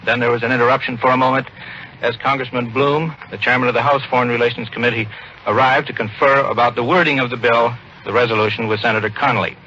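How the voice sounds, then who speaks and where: A man's voice: mid-range voice, fairly even intonation, fast talker, sharp tone, highs cut off, slight background noise. An American man reporting live news as a radio correspondent, on a 1940s broadcast.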